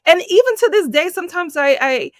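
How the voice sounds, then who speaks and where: A middle-aged woman from Jamaica, a podcast guest telling her life story in an animated way, laughing often. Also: extremely high pitch, fairly quick, no echo, studio quality. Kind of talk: personal storytelling in an interview.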